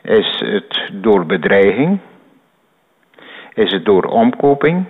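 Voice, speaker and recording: A man phoning in as a listener, putting questions deliberately over a reverberant phone line. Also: on the low side, very animated, breathy edge.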